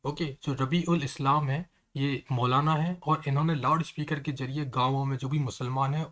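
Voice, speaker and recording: A man's voice: on the high side, fairly bright tone. A man hosting an online news explainer, talking straight to viewers in an earnest, conversational way. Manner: earnest, repeatedly addresses viewers as friends.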